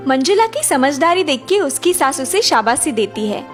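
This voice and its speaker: A woman narrates a children's cartoon story. Her voice is high and very lively in its rise and fall. Music plays behind her. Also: moderate pace.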